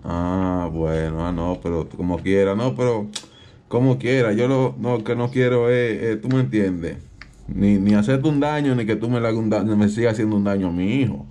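A man's voice: fairly low pitch, normal speed, dark tone, lightly acted. A man with a Dominican accent playing an aggrieved, protective father who claims to be a police captain on a prank call, insistent and repetitive. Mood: pleading, insistent. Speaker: prank caller. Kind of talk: improvised prank phone call.

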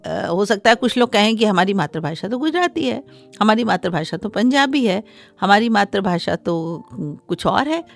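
A middle-aged woman speaks as a scholarly radio guest at a medium pitch. She explains things formally, pausing between phrases, close to a studio mic with music underneath. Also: lively intonation, gravelly. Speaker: interview guest, Hindi scholar. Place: small acoustically treated radio studio. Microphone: close studio microphone on a desk stand.

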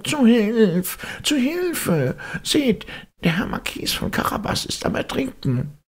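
A man voicing a sly, flattering cat servant from a storybook in an earnest, self-important, theatrical manner. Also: very high-pitched, slow pace, pitched above the performer's own voice, exaggerated voice. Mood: crying out.

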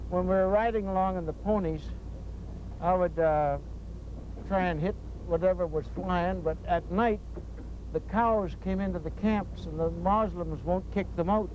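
A man's voice: high voice, moderate pace. An older man chatting casually as a guest, telling anecdotes out on a small boat. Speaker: fishing guest. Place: small boat on open water. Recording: dull tape, outdoor boat noise.

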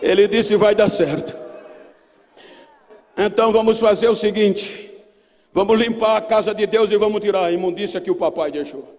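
A man's voice: high voice, fairly even intonation, normal speed, long pauses, a little mellow. An older Brazilian man in his sixties preaching an emphatic, oratorical sermon at a podium microphone to a large congregation. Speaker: pastor preaching at a youth Bible seminar. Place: large church auditorium. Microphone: microphone on a podium stand. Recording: long hall reverb from PA system.

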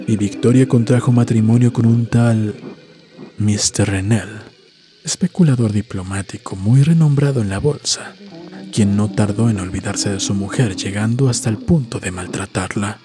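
A man reading a horror story as a narrator, solemn and measured, with dramatic pauses. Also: lowish voice. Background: background music.

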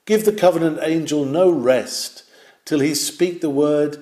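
A man's voice: fairly high pitch, a little slow, warm tone. Echoey exhorting male sermon reader. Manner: exhorting, rising and falling emphasis. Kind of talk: solemn sermon reading.

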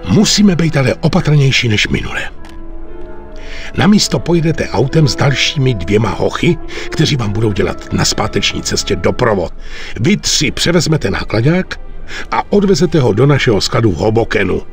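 An actor playing a shrewd, self-assured middle-aged mafia boss in a video game, measured and authoritative. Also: male voice, medium pitch, big pitch swings, soft background music. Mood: commanding. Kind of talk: video game cutscene acting in Czech.

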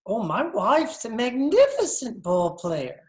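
High-pitched voice, gleeful silly sidekick, swooping intonation, gushing, radio comedy. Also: male voice, huge pitch swings, slow, darkish tone, heavily acted. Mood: chirpy, eager. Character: gleeful, chattering male radio-show sidekick, teasing and silly. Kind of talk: old-time radio comedy acting. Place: indoor room at home. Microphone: computer mic on video call.

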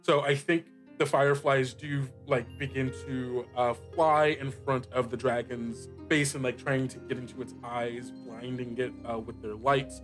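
A man improvising narration as a game master, casual and halting, with lots of filler words. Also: medium pitch, little pitch movement. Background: music bed.

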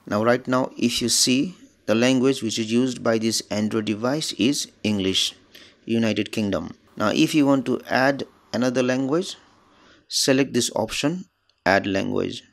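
Man calmly narrating a phone tutorial. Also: mid-range voice, unhurried, vocal fry. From Nepal. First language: Nepali. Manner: plain instructional narration, repeats steps. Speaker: tech tutorial channel host.

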